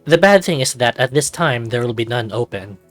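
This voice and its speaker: A narrator reading a visual novel aloud in an expressive, playful way, with bits of casual commentary. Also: medium pitch, fairly quick, no echo. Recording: dry recording with music behind.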